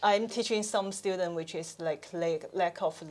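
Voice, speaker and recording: A woman's voice: fairly low pitch, moderate pace, darkish tone. A woman with a Hong Kong accent whose first language is Chinese, a teacher co-hosting a podcast and talking casually about her classroom, hesitant with many fillers and restarts.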